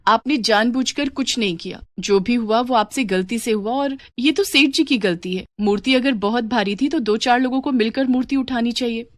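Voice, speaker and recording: Caring, gentle poor wife voiced by a woman. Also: fairly high pitch, moderate pace, hardly any pauses, no room sound, studio quality, pitched above the performer's own voice, natural voice. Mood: worried. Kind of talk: cartoon moral story dialogue.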